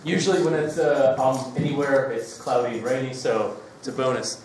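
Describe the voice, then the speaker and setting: A man's voice: mid-range voice, fairly even intonation, normal speed, a little mellow, a little hiss or hum. A man with an Australian accent giving a joking, chatty public lecture, with many fillers. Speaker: guest lecturer. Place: lecture hall. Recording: echoey hall, mic from far off.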